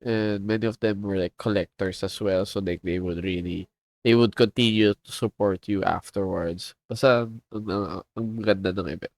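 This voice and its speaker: A man's voice: on the low side, steady tone, moderate pace, a little mellow. A man with a Filipino accent, chatting casually on a livestream as a comic artist and rambling as he switches between English and Tagalog. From Philippines.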